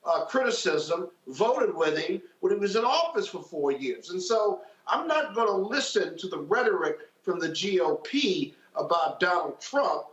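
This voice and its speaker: A high-pitched man delivers political commentary in an emphatic, measured way. His voice comes through dull, with plenty of room echo. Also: a bit bright. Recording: dull, echoey remote-guest audio.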